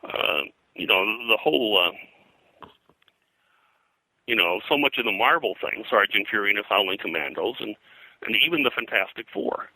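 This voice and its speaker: An older man speaking as an interview guest, reminiscing in a halting, digressive way and often clearing his throat. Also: fairly low pitch, lively intonation, bright, forceful tone, no room sound, low-quality recording. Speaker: guest, pulp and comics historian. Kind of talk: interview conversation, reminiscing about his work.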